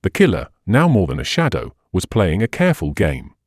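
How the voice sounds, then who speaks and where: A male audiobook narrator tells a detective mystery in a measured, dramatic way. His voice sits on the low side and swings widely in intonation. The recording is very dry. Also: huge pitch swings, husky, no room sound.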